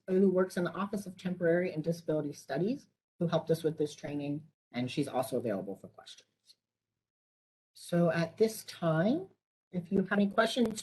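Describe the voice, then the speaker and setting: A woman's voice: lowish voice, warm tone, slightly rough, low-quality recording. A woman trainer on a conference call, explaining and answering questions informally.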